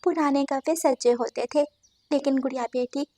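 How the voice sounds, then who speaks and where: Homely mother songbird for cartoon, woman performer, high voice, sceptical. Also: steady tone, normal speed, mellow, no room sound, natural voice. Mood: disappointed. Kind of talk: Hindi cartoon story dialogue.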